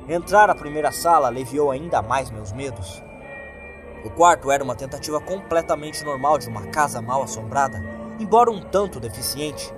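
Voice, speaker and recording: Brazilian man narrating horror, expressive pitch. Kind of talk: scripted first-person horror story narration. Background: background music.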